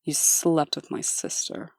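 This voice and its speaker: An actress playing a cold, no-nonsense administrator and bitter ex-wife in a comic dub, cutting and sardonic. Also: female voice, unhurried, no room sound, natural voice. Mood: scornful.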